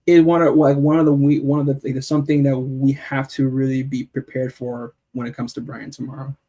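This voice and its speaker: A man hosting a live football chat, talking in an animated, playful way with lots of fillers and restarts. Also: mid-range voice, a little fast. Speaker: host.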